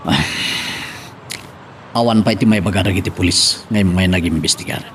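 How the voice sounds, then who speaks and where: A man's voice: low voice, normal speed, a bit bright. A man acting an earnest grown son in an Ilocano radio drama, speaking with a Filipino accent. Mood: grave, worried. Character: earnest grown son reporting to his mother. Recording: clear ambient noise behind.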